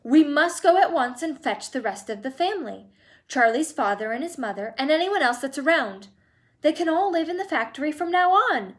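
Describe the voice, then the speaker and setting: A woman voicing an excitable, eccentric male candy-maker in a storybook reading, brisk and theatrical. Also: high voice, pitched above the performer's own voice.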